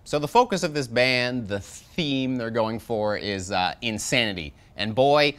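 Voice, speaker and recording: A man's voice: animated, unhurried, a bit bright, clean tone. A man in his thirties hosting a metal review show, delivering a jokey scripted review from a studio set. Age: 30s. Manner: sarcastic, jokey, wide swings in pitch. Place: studio set with shelves of records.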